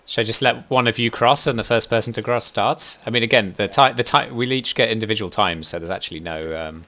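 A man's voice: medium pitch, fast talker, sharp tone. A young man leading a sailing club game session on a headset mic, chatty, laughing often and thinking aloud as he plays. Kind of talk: casual instructive chat while gaming.

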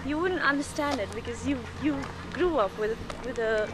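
A woman's voice: high voice, lively intonation, moderate pace, crowd noise. A woman giving an intense, earnest interview outdoors. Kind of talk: documentary interview. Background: loud music.

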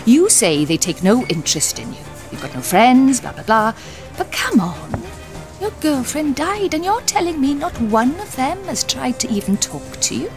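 An actress playing a friendly, prying barmaid in an audio drama, chatty and blunt. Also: female voice, high-pitched, very animated, moderate pace, music in the background. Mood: coaxing.

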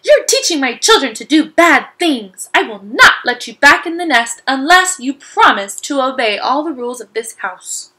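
A woman voicing a stern, strict mother bird who scolds loudly. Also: high voice, very animated, moderate pace, hardly any pauses, heavily acted. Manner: scolding, loud.

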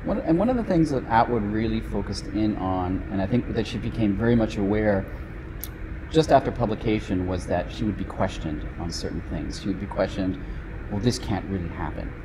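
Middle-aged male host, low voice, quick talker, librarian interviewing a guest, faint room noise. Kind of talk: conversational interview.

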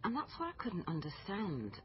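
A woman's voice: fairly low pitch, wildly swooping pitch, moderate pace. A woman playing a dogged, questioning British police liaison officer in a naturalistic radio drama, probing and thinking aloud. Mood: puzzled, thoughtful. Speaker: actor in radio crime drama. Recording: muffled broadcast with clear background noise.

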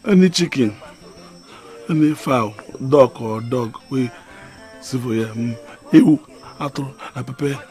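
An actor with a Nigerian accent playing a flustered village husband and pleading peacemaker, speaking haltingly and repeating himself in pidgin, in a film drama. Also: male voice, mid-range voice, a little mellow, soft background music, close to an everyday voice. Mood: earnest explaining. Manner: halting, repetitive pidgin delivery.

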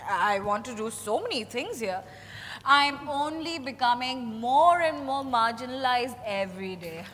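Actress as troubled Indian daughter, stage drama, high voice, reverberant hall with clear background noise. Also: female voice, expressive, a little slow, fairly bright tone. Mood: distressed. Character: troubled, idealistic daughter, wounded and accusing. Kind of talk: dramatized stage reading of a play. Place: large hotel ballroom stage. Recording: reverberant hall, noisy room ambience.

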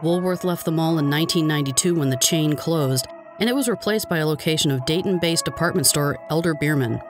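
A man with an American accent reading scripted documentary narration in a measured, informative way. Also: a little high. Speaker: video narrator. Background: background music.

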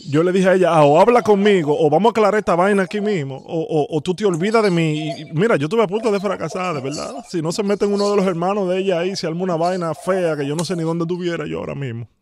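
A man with a Dominican accent on a prank phone call, talking in a plaintive, halting way and repeating his words. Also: sits high, a little mellow. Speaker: prank-call show host. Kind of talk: prank phone call, posing as a wronged husband. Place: indoor home studio. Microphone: close studio microphone.

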